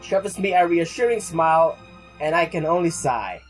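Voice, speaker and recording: A woman's voice: fairly low pitch, lively intonation, moderate pace, faint music behind. A woman hosting a let's play, reading game text aloud and interjecting casually, often repeating words as she goes.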